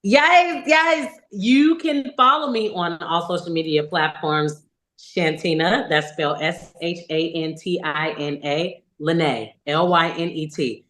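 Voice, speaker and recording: A woman's voice: lowish voice, unhurried, fairly bright tone. A young adult woman, a singer and podcast guest, talking candidly and animatedly about her life in a casual interview. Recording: echoey room.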